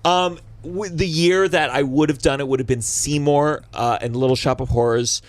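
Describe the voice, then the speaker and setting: A man hosting a podcast, chatting casually and comedically in an emphatic, animated way, with some swearing. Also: fairly high pitch, normal speed, no echo.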